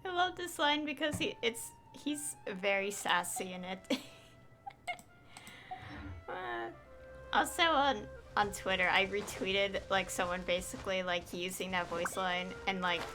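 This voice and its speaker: A woman's voice: medium pitch, lively intonation, measured pace, pushed and bright, soft background music. A young woman streaming a game, chatting casually in a rambling, hesitant way. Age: young adult. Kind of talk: casual livestream commentary.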